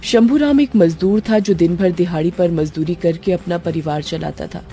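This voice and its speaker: A woman's voice: fairly low pitch, normal speed, warm tone. A woman reading a scripted story as a narrator, in a storytelling style. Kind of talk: scripted narration of a Hindi moral horror story. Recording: music under the voice.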